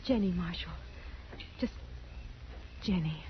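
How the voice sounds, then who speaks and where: An actress playing a frightened frontier wife in a radio western, pleading in an urgent, breathless way with rural phrasing. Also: female voice, fairly low pitch, slow pace. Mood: desperate, pleading. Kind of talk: 1950s radio western acting. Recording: muffled, faintly hissy old radio recording.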